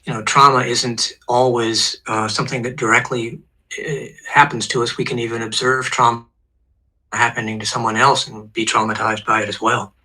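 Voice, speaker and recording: A man in his sixties, a therapist, calmly explaining psychological ideas as a guest on an online show. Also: medium pitch, normal speed, breathy edge, rough recording.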